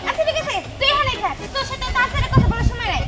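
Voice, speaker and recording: Woman acting squeaky, scornful young woman. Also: racing. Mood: teasing. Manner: taunting, dismissive, challenging. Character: sassy, scornful young woman teasing a suitor. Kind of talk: comic fairy-tale drama acting. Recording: distant mic under loud music.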